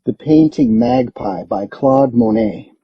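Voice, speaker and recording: A man reading scripted lesson texts aloud for English learners in a very husky, gravelly voice. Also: very high-pitched, huge pitch swings, slow pace, dry recording. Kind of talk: scripted read-aloud narration. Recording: dull, muffled, dry recording.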